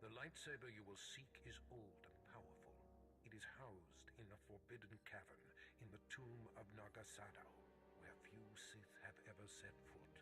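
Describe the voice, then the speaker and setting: An actor playing a sinister, commanding Sith overseer in a sci-fi video game, speaking in a grandiose, menacing, measured way. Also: male voice, lowish voice, close to an everyday voice, rough recording. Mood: grave, ominous. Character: sinister male Sith overseer, commanding, coldly pleased schemer. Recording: dramatic music under the voice.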